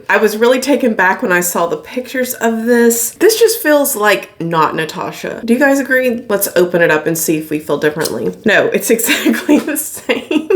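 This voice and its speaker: A young woman vlogger, slightly raspy from being sick, chatting candidly through a makeup review in a home studio. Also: medium pitch. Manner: chatty and candid, laughs often while applying makeup. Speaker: beauty vlogger. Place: indoor home studio room.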